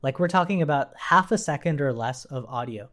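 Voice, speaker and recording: A man's voice: mid-range voice, lively intonation, a little fast, a bit bright. A man giving an informal coding tutorial, thinking aloud as he explains, repeating phrases and checking in as he goes. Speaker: programming instructor. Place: indoors at a computer with keyboard typing.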